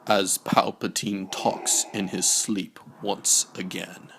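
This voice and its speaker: Low gravelly guy reading bedtime story, super slow n hushed, bit of background noise. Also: level tone. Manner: hushed, measured rhyming delivery. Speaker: storybook narrator. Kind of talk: bedtime story read-aloud.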